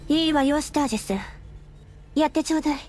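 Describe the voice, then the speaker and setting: A woman's voice: high voice, steady tone, racing, darkish tone, close to an everyday voice. A synthetic woman's voice playing a determined young mother and game heroine in anime style. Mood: earnest, resolute. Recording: synthetic voice with music behind.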